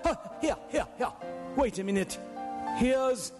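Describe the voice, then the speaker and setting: Exuberant old reformed miser, very high swooping voice, stammering, radio play in a reverberant hall. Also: male voice, expressive, measured pace. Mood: excited, delighted. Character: elderly miser turned giddy, joyful and generous. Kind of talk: live radio drama acting. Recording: live stage radio broadcast, long hall reverb. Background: music.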